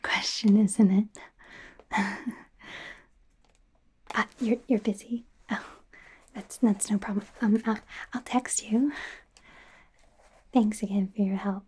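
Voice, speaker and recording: A woman playing an awkward, eager werewolf trainee doctor in a soft, breathy, hesitant whisper for an ASMR roleplay. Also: mid-range voice, steady tone, slow, pauses often. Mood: shy, flirty. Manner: hesitant, rambling, giggly, stammering.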